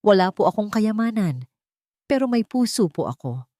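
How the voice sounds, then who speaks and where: A woman's voice: medium pitch, sing-song, moderate pace, warm tone, dry recording, pitched above the performer's own voice, close to an everyday voice. Humble working-class dad performed by a woman narrator, earnest and emotional, dry storybook melodrama recording. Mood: trembling earnest plea. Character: humble, poor, devoted adoptive father, earnest.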